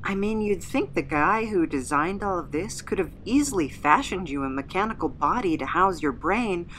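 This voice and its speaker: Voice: medium pitch, animated, normal speed, a bit bright, a little hiss or hum, close to an everyday voice, poor audio. Performer as a weary, sardonic captive. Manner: dry, sardonic. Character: weary, sarcastic captive, resigned yet argumentative. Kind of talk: comedic audio drama acting.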